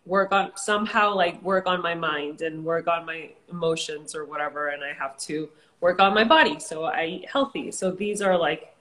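A woman's voice: on the low side, moderate pace, hardly any pauses, a bit bright. A woman from Tijuana, Mexico, whose first language is Spanish, chatting casually as a guest on a live stream, full of "like" fillers. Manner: chatty, lots of "like" fillers, laughs easily. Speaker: fitness and wellness creator, guest.

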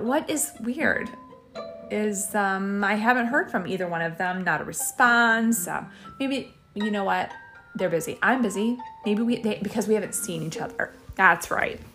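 A woman's voice: mid-range voice, fairly bright tone. Chatty woman hosting a home podcast. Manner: chatty and self-deprecating, with many fillers and laughs. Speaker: podcast host. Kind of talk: casual solo podcast chat. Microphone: boom microphone.

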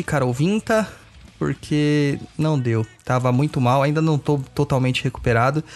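A man with a Brazilian Portuguese accent hosting a podcast, chatting informally with his nose stuffed up from a cold. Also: a little high. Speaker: podcast host. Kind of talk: casual podcast update.

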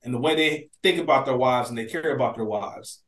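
A man co-hosting a casual TV recap podcast, talking in an animated, slangy way with plenty of swearing. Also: medium pitch, brisk. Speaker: podcast co-host. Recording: roomy, echoey sound.

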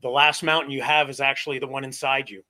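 A man's voice: medium pitch, little pitch movement, quick pace, pushed and bright. A man from Vermont, co-hosting a men's talk show and reflecting earnestly, often repeating his words. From Vermont, USA. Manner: earnest, repeats words, speaks of ancestors and the soul. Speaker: co-host.

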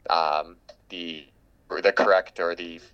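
A man with a very deep, smooth voice hosts a casual conversation. He speaks in a flat, even tone and works through his thoughts slowly and hesitantly, with plenty of fillers. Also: muffled recording.

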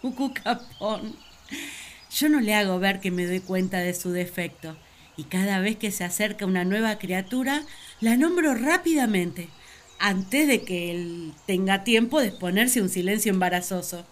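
A woman's voice: mid-range voice, normal speed, a little hiss or hum, close to an everyday voice. A woman playing Eve, an earnest, talkative young first woman, telling her story in a radio play. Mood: self-assured. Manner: earnest, wistful, storytelling to the listener.

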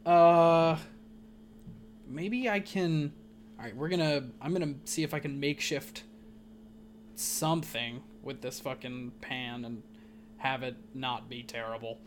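Female podcast host. Low register, dry and informal, faint noise behind her. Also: a little slow, long pauses. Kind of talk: casual podcast chat.

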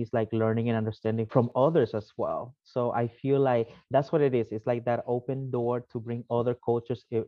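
A man from El Salvador answers interview questions in a relaxed, searching way, padding his thoughts with "right?" and "you know". The recording is dry and somewhat dull, like a lo-fi remote mic. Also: medium pitch, dry recording. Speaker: podcast guest, alumni network vice president.

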